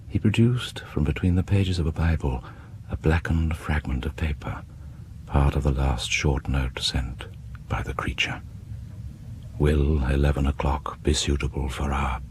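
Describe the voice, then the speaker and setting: An actor playing a grave, sinister British narrator of radio horror tales, deliberate and dropping into whispers. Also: male voice, very deep voice. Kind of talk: dramatic scripted horror narration. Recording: faintly noisy vintage radio broadcast.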